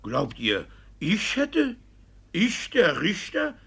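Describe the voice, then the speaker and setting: Shifty, gravelly, blustering village judge. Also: male voice, high-pitched, wildly swooping pitch, extremely slow, fairly bright tone, heavily acted. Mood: indignant bluster. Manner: evasive, indignant, blustering. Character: shifty, blustering village judge hiding his own guilt. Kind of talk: classic German stage comedy as radio play.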